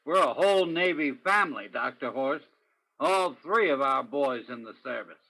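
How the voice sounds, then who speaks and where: A man acting a folksy, very bright old father. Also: medium pitch, animated, normal speed, low-quality recording. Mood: genial. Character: folksy, genial old father, proud of sons. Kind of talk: radio play acting.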